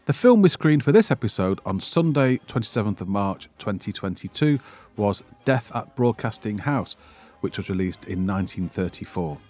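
A man's voice: fairly low pitch, sing-song, moderate pace, darkish tone, faint music behind. Man hosting a podcast, wryly humorous. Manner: wry, self-deprecating humour. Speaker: podcast host. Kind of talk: podcast introduction and informal event hosting.

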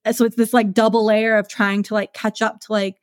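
A woman's voice: fairly high pitch, level tone, brisk, no echo, clean studio sound. Woman musing with many "like" fillers. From United States. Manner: frequent "like" and "right?" fillers, thoughtful. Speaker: guest. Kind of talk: casual podcast conversation.

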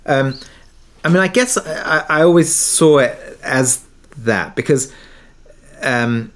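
A man's voice: mid-range voice, fairly bright tone. A middle-aged British man talking reflectively as an interview guest, hesitant and thoughtful, often circling back to rephrase. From Britain, partly raised abroad in Kuwait. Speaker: interview guest, audio drama creator.

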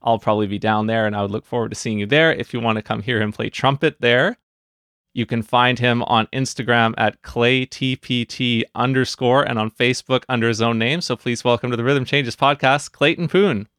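An upbeat man hosts an interview podcast from a close mic in a dry, dead room. His pitch sits in the middle for a man, and he delivers his scripted lines with easy energy. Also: fairly even intonation, fairly quick, a bit bright, no echo. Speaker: podcast host.

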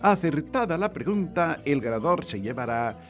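A man's voice: fairly high pitch, big pitch swings, normal speed. A man from Spain playing a chirpy, formal radio quiz host with a showy, ceremonious, over-polite manner. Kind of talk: old-fashioned radio contest presenting, acted. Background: music.

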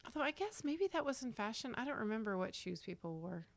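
A woman's voice: fairly high pitch, sing-song, quick pace, creaky, dry recording. A woman co-hosting a casual podcast chat, chatty and enthusiastic, trailing off into fillers. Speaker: podcast co-host.

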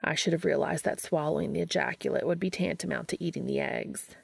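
A woman's voice: low-pitched, fast, vocal fry, breathy edge, no echo, clean studio sound, full character voice. A woman voicing an earnest, formal male creature with a stiff way of talking in a story reading. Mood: apologetic.

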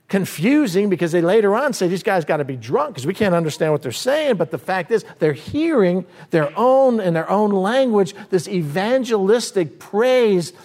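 A man. He swings between quick bursts and slow, stressed words, now loud, now dropping low. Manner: animated preaching with sudden swings in pace and loudness. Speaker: pastor. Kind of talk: sermon teaching on scripture.

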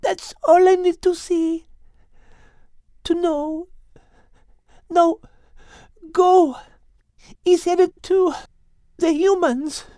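A frail, gentle little female creature in a storybook, voiced by a man narrator, speaking very high and weak with a tender touch. She talks slowly, close and dry. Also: steady tone, no echo, full character voice. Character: dying small gentle female animal with a French touch.

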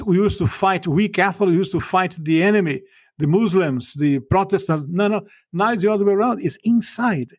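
A man's voice: high voice, darkish tone, slightly rough, no echo. A man from Brazil with a Brazilian Portuguese accent, a guest on a podcast interview who speaks in an emphatic, fervent preaching tone and often restarts his sentences.

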